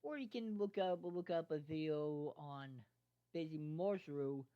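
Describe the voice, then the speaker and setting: An amateur reader narrating a fan fiction story aloud in a halting way, stumbling over words and repeating phrases. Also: mid-range voice, smooth. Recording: dull lo-fi microphone sound.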